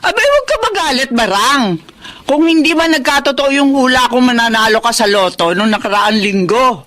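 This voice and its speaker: A woman's voice: sits high, animated, normal speed, fairly bright tone. An older Filipino woman acting a feisty, defensive old fortune teller in a radio drama. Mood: indignant. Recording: faint background noise.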